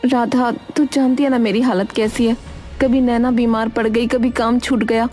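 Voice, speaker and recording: A woman plays a poor village mother in a cartoon moral tale. Her voice is high and mellow, yet she talks firmly, a strict and worried parent who scolds plainly. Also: level tone, normal speed, faint music behind, natural voice. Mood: pleading. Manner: scolding, firm, plain-spoken. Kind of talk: cartoon moral story acting.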